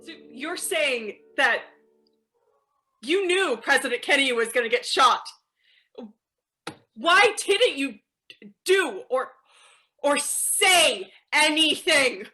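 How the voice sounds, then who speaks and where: A woman plays the troubled lover of a superhero, emotional and vulnerable. Her voice is high and bright, and she speaks haltingly at a slow pace. It is a dramatic online play. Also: expressive, soft background music, heavily acted. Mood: shocked. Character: Janie, anxious, wounded woman, superhero's lover. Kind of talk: dramatic acting in a fan-made online play.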